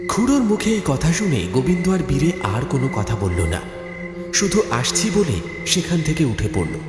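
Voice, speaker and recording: A man's voice: fairly high pitch, sing-song, moderate pace. A man narrating a horror cartoon story in a dramatic, scripted storytelling style. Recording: echoey studio reverb, music behind. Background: music.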